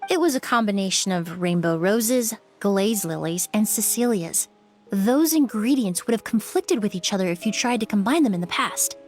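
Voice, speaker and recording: An actress playing a composed, analytical young perfumer who speaks articulately in a game cutscene. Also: female voice, medium pitch, animated, darkish tone, faint music behind, lightly acted. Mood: cool, assured. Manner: measured, articulate, matter-of-fact. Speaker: voice actor in a game. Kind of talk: dramatic game cutscene dialogue.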